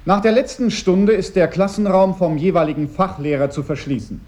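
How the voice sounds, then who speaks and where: A man's voice: sits high, fairly even intonation, a little fast, a little mellow. A man playing an officious school head who chairs a meeting in stiff, pedantic officialese for a radio drama. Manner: bureaucratic, pedantic, stiffly formal. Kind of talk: radio drama acting, formal agenda speech.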